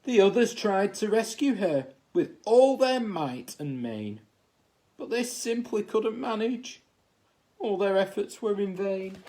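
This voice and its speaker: A man reading a children's story aloud as a teacher would, in expressive narration. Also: high-pitched, takes it slowly. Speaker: assistant head teacher reading a bedtime story.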